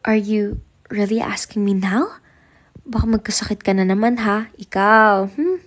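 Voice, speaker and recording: A woman's voice: medium pitch, measured pace, close to an everyday voice. A young woman with a Filipino accent playing a playful, affectionate sweetheart in an amateur radio drama, chatty and teasing. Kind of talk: amateur radio drama phone dialogue, Taglish.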